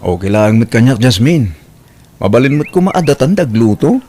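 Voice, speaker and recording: A man's voice: mid-range voice, big pitch swings, normal speed, a little mellow. A Filipino man playing an easygoing, obliging young boyfriend in a radio drama. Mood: affectionate, agreeable.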